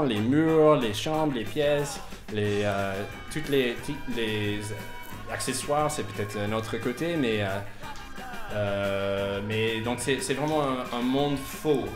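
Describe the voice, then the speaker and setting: A man hosting a casual radio interview, with a hesitant, halting way of talking and sentences that trail off. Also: mid-range voice. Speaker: radio host. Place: radio studio.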